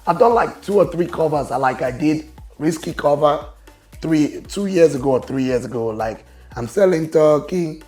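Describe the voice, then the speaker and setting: A man with a raspy voice, talking casually and confidently as a guest on an interview show. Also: a little high, animated, normal speed, a little mellow. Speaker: guest, business owner and show promoter. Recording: roomy echo with faint music.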